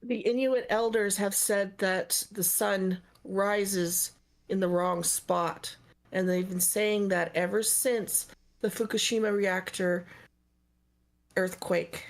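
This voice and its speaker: A woman's voice: mid-range voice, steady tone, slow. A woman in her fifties from Ontario, reminiscing in casual podcast chat. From Canada (Ontario). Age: 50s. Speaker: podcast co-host.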